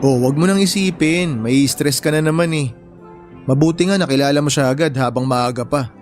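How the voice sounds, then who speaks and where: An actor playing an easygoing, helpful young bachelor in a radio drama, with casual, conversational delivery. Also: male voice, on the high side, fairly quick, natural voice. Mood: casual, kind. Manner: casual, teasing, reassuring. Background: music bed.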